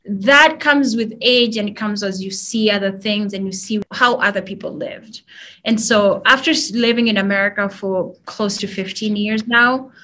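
Kenyan woman, 30s, interview guest on a call, soft reflective storyteller. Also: medium pitch, normal speed. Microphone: video call.

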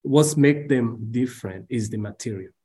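A young man with a Rwandan accent answers interview questions over a video call. He speaks slowly and haltingly, stopping on fillers as he looks for words, and his pitch sits in the middle range for a man. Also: warm tone. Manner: hesitant, halting with many fillers. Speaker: guest.

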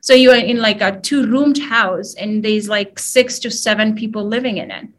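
A woman in her thirties with a Kenyan accent, speaking as a guest in a video call interview, soft and reflective. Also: mid-range voice, normal speed.

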